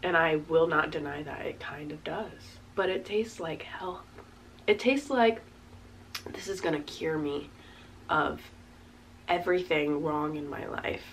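Casting note: twenties woman, rambling fashion-vlog chatter, slight congestion rasp, recorded by a camera mic a few steps back with faint hiss. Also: low voice, a little slow, recorded from a distance, rough recording. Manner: chatty, rambling, upbeat, frequent "like" fillers. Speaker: fashion vlogger. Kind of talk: casual try-on chat. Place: small bedroom with backdrop, indoors. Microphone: camera mic from a few meters away.

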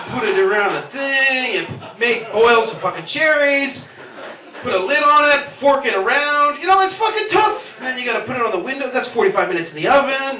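A man's voice: sits high, pushed and bright. A man in his thirties doing a rambling, profane, self-deprecating stand-up set on a handheld mic in a small pub basement. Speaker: stand-up comedian. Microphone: handheld stage mic, filmed from the room. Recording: roomy club sound from far off.

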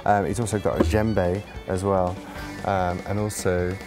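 A man in his forties, a musical director, calmly explaining the instruments of a show on a theatre stage. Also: low voice. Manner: calm, explanatory, unhurried. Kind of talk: guided tour to camera. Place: theatre stage set, indoors. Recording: music playing underneath.

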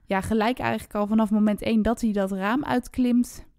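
A woman's voice: fairly high pitch, fairly even intonation, fairly quick, darkish tone, no room sound, clean studio sound. A woman co-hosting a book podcast, talking about books in casual conversation.

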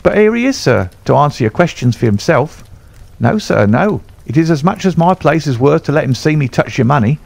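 A man's voice: on the high side, expressive, fairly quick, gravelly, darkish tone, dry recording, pitched above the performer's own voice. A man voicing a young stable groom who speaks eagerly and deferentially. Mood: eager servile.

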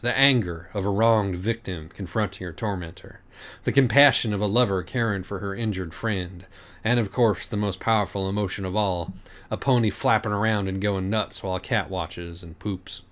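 A man's voice: lowish voice, moderate pace, a bit bright. A man reading a comic story aloud as an audiobook narrator, in a wry, profane manner. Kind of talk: animated scripted narration of comic fiction.

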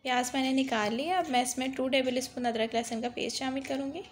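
High-voiced woman narrating a home recipe. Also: steady tone, quick pace, faint music behind. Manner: instructive, repeats "dekhiye" to guide viewers. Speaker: cooking channel host. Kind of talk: step-by-step cooking voice-over.

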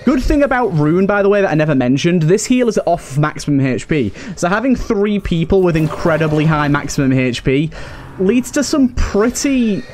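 A man's voice: sits high, very animated, normal speed, darkish tone, a little hiss or hum. A man giving casual let's play commentary, thinking aloud and chatting to his game characters. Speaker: gaming video host. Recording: game music behind voice. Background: game music.

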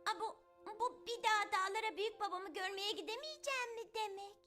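Earnest homesick cartoon girl, very high and hesitant, over clear music. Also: fairly quick, bright, forceful tone. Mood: upset, pleading. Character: young orphan girl, earnest, anxious, homesick. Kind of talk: dubbed cartoon acting.

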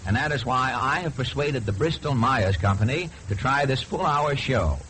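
A man's voice: medium pitch, animated, normal speed, a bit bright. A man hosting a radio show with scripted, wry 1930s-style patter. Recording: lightly noisy 1930s radio recording.

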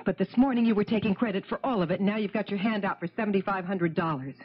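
An actress playing a scheming, hard-edged wife with a biting, scornful manner in a 1970s radio drama. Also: female voice, mid-range voice, fast talker, husky, lightly acted. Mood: accusing. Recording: muffled 1970s radio broadcast.

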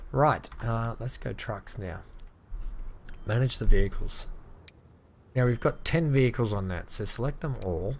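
A slightly hoarse man chatting casually as he plays and narrates a transport-building game, thinking aloud with upbeat asides. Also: fairly low pitch, slight background noise. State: slightly hoarse, losing his voice. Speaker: gaming channel host. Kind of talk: casual let's-play commentary.

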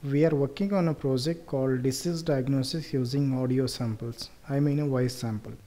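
Mellow Indian-accented man presenting. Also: mid-range voice, steady tone, moderate pace. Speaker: researcher presenting a project. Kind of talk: slide presentation narration.

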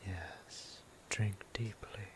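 A man voicing a gentle, courtly vampire priest in an ASMR roleplay, breathy and half-whispered, with formal, tender, old-fashioned phrasing. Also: fairly low pitch, level tone, drawn-out words. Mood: caring, soothing. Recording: clear background noise and ambience.

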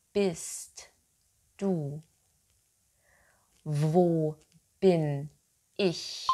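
A woman in her thirties teaching German from home, saying each word twice slowly and clearly into a webcam. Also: lowish voice, noisy background. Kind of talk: slow, deliberate pronunciation drill. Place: home room, seated in armchair. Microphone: webcam, head-and-shoulders framing.

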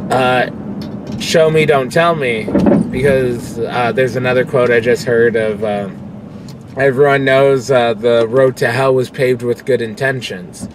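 A man's voice: medium pitch, steady tone, measured pace. A young man talking casually to camera while he drives. He rambles candidly and swears freely. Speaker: vlogger and pro wrestler. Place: inside a car, driving. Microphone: phone camera on dashboard. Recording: car interior with road noise. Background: road and engine noise.

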